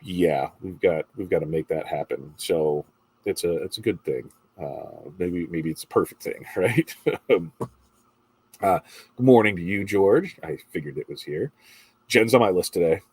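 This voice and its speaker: A man's voice: deep voice, unhurried, darkish tone, slightly rough. A middle-aged man with a congested voice hosts a casual morning livestream, chatting and reading viewer comments in a rambling way.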